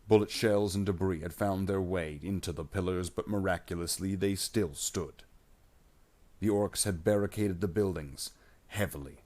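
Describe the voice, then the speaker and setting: A man's voice: low-pitched, moderate pace, darkish tone. A man reading scripted science fiction in an audiobook style, narrating plainly without putting on character voices.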